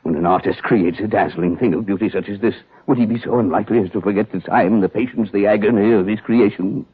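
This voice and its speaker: An actor in a 1940s radio drama playing a fussy, pompous, theatrically proud gemologist with florid, repetitive speech and a husky voice. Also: male voice, fairly low pitch, quick pace. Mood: proud, reverent. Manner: florid, repeats phrases, pompous. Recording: tinny, narrow-band 1940s radio recording.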